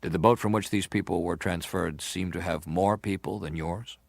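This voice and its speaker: A senator grilling a witness, businesslike n formal, real low voice, super dry close sound. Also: male voice, steady tone, normal speed, gravelly, no echo. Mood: methodical, probing. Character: formal, methodical male senator questioning a witness. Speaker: actor reading a senator's questions. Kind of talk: dramatised reading of hearing testimony.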